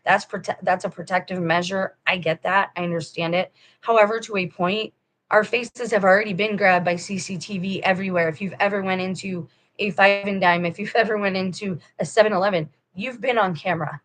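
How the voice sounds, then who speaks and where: A woman's voice: medium pitch, steady tone, a little fast, a bit bright. A woman talking straight to her viewers in a casual, rambling monologue, firm and preachy in tone. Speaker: vlogger.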